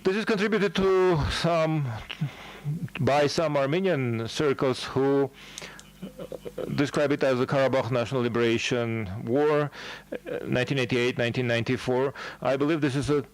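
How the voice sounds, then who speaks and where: A man delivering a formal academic lecture as a scholar, speaking in measured, halting phrases. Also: medium pitch, rough recording.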